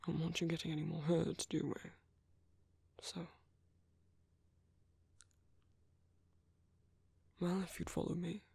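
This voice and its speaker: A British man playing a gentle, courteous vampire priest in an ASMR roleplay, speaking softly and breathily with polite, hesitant reassurance. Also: a little high, level tone, a little fast, no room sound, heavily acted. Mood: reassuring. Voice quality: soft, breathy, husky. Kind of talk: ASMR roleplay, soft intimate whispery speech.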